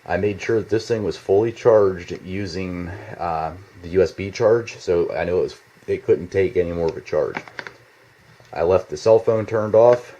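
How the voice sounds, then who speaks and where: A man giving a casual, unscripted product demonstration in a halting, rambling, informal way, recorded indoors in a home basement. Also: sits low, warm tone, slight background noise. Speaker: product reviewer. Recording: slightly dull, lo-fi home video sound.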